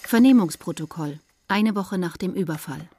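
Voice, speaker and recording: Radio play: impersonal records announcer, mellow low register, clipped official delivery. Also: female voice, lively intonation, moderate pace, slight background noise. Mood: neutral, official.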